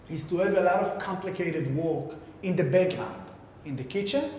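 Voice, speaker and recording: Echoey, higher-pitched man presenting haltingly. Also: darkish tone. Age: 30s. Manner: halting, filler-heavy delivery with repeated words. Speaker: tech company founder presenting a product. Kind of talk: informal keynote explanation to camera. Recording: very echoey, reverberant room with faint rumble.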